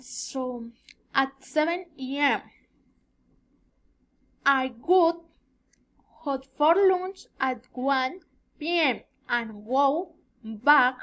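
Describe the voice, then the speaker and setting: Halting young Colombian woman, high voice. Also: fairly bright tone. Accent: Colombian Spanish. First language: Spanish. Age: 20s. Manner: halting, searching for words. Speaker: student giving an oral presentation. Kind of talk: rehearsed self-introduction for a language class.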